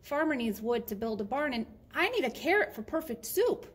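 A woman voicing a small, anxious, hurried mouse who pleads earnestly and in singsong in a children's picture book. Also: high-pitched, big pitch swings, slightly rough, pitched above the performer's own voice, exaggerated voice. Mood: pleading, insistent.

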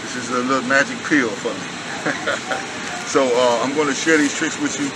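A man's voice: medium pitch, steady tone, moderate pace. A middle-aged man casually explaining stretches into a handheld phone, rambling with many restarts. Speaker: vlogger sharing stretching tips. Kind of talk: casual how-to vlog. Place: airport gate and plane seat. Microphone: handheld phone camera at arm's length. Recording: noisy cabin and terminal hum, roomy.

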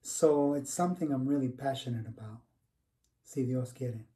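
A middle-aged man with a mellow voice, acting out a devoted educator and grateful son in a conversational stage monologue. He sits back from the mic in a room, sounding slightly distant. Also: mid-range voice, moderate pace, natural voice. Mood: earnest, grateful. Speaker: actor performing a monologue. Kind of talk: dramatized first-person narrative, conversational. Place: small home room, indoors.

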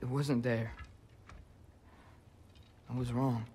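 A man's voice: medium pitch, little pitch movement, slow, mellow, slight background noise, natural voice. A man playing an earnest, guilt-ridden teenage boy hero in a video game, sullen and apologetic. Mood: downcast. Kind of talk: dramatic video game acting.

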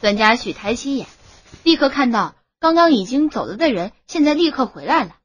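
A woman's voice: fairly high pitch, big pitch swings, a little fast, breathy edge, no room sound, dull audio. A woman narrating a romance novel as a scripted audiobook.

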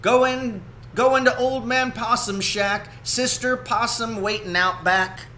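A man's voice: very high voice, steady tone, a little slow, bright, forceful tone, a little hiss or hum, voice pushed up, exaggerated voice. A man voicing a bossy young male beaver banjo player from a storybook, folksy and urging. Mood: spirited, urging. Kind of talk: storybook character reading.

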